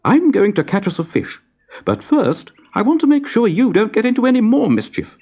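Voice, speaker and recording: A man's voice: high voice, huge pitch swings, normal speed, darkish tone, slightly rough, lo-fi sound, pitched above the performer's own voice, heavily acted. A man voicing a firm, protective mother otter in a children's storybook, instructing and caring. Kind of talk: children's storybook character reading.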